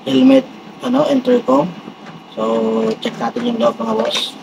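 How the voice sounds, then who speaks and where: A man with a Filipino accent giving a casual, halting unboxing review with a gravelly voice. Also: very high voice. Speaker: vlogger doing a product unboxing and test. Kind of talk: casual unboxing review, talking while handling items. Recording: clearly noisy, steady background hum.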